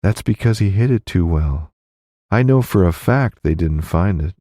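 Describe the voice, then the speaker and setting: A man's voice: deep voice, lively intonation, moderate pace, dark tone, no echo, studio quality, pitched above the performer's own voice. A man voicing a young Old West widow, guarded and scheming, speaking politely and delicately in an audiobook story. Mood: guarded, cool.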